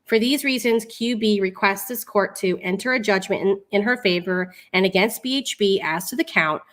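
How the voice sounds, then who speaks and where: A woman's voice: mid-range voice, fairly bright tone. A woman hosting a live online commentary, moving between reading aloud and casual asides in an informal, sarcastic, mocking way. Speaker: livestream host.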